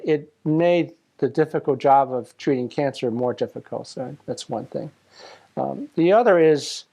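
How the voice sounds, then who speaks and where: A man's voice: mid-range voice, vocal fry, darkish tone. A man who works in healthcare, explaining things in an interview. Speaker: healthcare worker.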